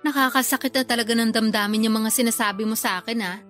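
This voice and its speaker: Actress as Filipino elder sister, radio drama: high-pitched, wheedling, quick to take offence, faint music behind. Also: female voice, steady tone, a bit bright, lightly acted. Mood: sulky, hurt. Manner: defensive, pleading, sulky. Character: defensive, wheedling older sister, a grandmother. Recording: music under the voice.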